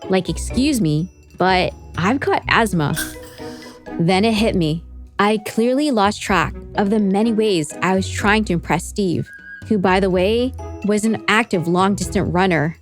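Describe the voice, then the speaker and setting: A woman's voice: mid-range voice, unhurried. A woman host telling a scripted story as narrator, with wry, chatty asides. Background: music behind the voice.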